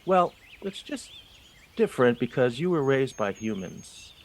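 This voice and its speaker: A man plays a seasoned field mouse teaching a youngster the ropes in an audio drama. His delivery is practical and firm, with a lively mid-range pitch, over faint outdoor birdsong. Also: a little slow, a little hiss or hum. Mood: evasive.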